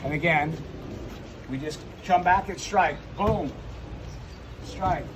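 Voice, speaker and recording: A man's voice: on the high side, takes it slowly, noisy background. A man giving an unscripted, hands-on demonstration as a firefighting instructor, often repeating and restarting his phrases as he explains.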